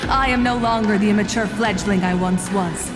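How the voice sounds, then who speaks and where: A woman playing a proud, resolute exiled warrior in a video game, speaking in a formal, measured, declamatory way. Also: medium pitch, steady tone, close to an everyday voice. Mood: solemn, defiant. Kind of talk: scripted dramatic video game acting. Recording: echoey hall with long reverb, loud music.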